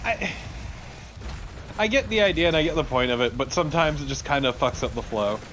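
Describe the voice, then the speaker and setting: A man's voice: on the high side, lively intonation, a little fast, a bit bright. A man chatting casually as a let's play co-commentator, conversational and prone to swearing. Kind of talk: casual chat over gameplay. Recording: clearly noisy home recording. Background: background noise.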